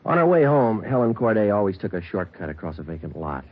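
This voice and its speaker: An actor playing a calm, relentless police sergeant interrogating a suspect in a 1940s radio crime drama. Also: male voice, on the low side, fast. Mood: steady. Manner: clipped, matter-of-fact questioning. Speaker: police detective. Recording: dull lo-fi old radio broadcast.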